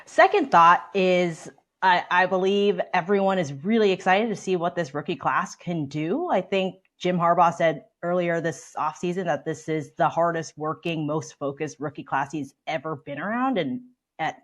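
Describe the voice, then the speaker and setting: A woman's voice: on the low side, normal speed. An American woman giving casual sports analysis as a guest reporter on a panel.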